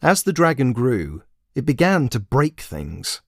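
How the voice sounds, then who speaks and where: A man's voice: medium pitch, big pitch swings, measured pace, creaky, dry recording, studio quality. A man narrating a story expressively, in the manner of a storybook reading. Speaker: author and narrator.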